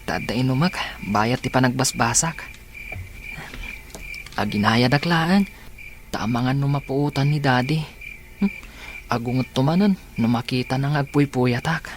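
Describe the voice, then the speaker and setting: A woman playing a troubled teenage high school girl in a radio drama, talking in a soft, hesitant way. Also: measured pace, long pauses, slight background noise. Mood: reflective. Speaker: lead character. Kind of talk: Ilocano radio drama acting.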